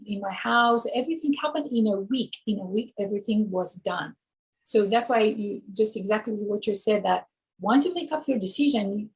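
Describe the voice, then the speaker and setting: A woman from Romania, a guest author, answering interview questions thoughtfully with many pauses and ums. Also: medium pitch, gravelly, darkish tone, breathy edge, poor audio.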